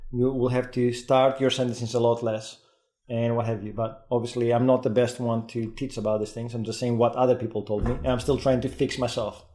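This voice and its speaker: Podcast guest, male, Greek-Scottish accent, excitable and quick, restarts his lines, mid-pitch, roomy echo. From Greece. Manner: fast, excitable, restarts sentences. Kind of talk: casual podcast conversation.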